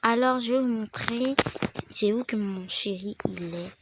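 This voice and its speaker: A woman's voice: a little high, unhurried, noisy background. A woman with a head cold, rambling through a casual game tutorial and dropping into whispered asides. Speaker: gaming video creator.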